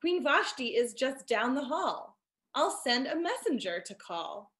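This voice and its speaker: A woman's voice: high voice, sing-song, unhurried, a bit bright, full character voice. A woman voicing a bossy, self-important king in a children's storybook, with a commanding, declamatory manner. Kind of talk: children's storybook character reading.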